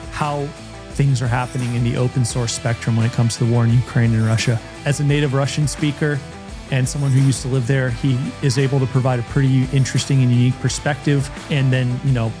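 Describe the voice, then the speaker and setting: A man hosts a podcast in a relaxed, rambling manner with a middle-range voice. A guitar music bed plays clearly behind his intro. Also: mid-range voice. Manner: relaxed, rambling, many fillers and restarts. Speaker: podcast host. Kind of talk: casual podcast hosting.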